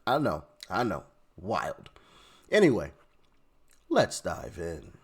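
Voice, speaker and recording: A man hosts an opinionated commentary show in a casual, slangy way. His pitch moves around in a lively, animated manner, and he often drops informal asides to the listener. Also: slow pace, slightly rough.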